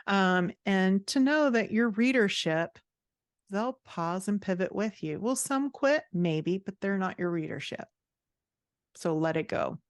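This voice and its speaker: A woman's voice: mid-range voice, expressive, a little slow, no echo, clean studio sound. A woman speaking as a guest author and writing coach in a casual podcast conversation.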